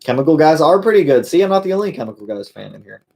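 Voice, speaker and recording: A man's voice: fairly high pitch, very animated, racing, vocal fry, warm tone. A man hosting a casual live-stream Q&A for his car channel, answering viewers in a laid-back way with lots of fillers and friendly call-outs.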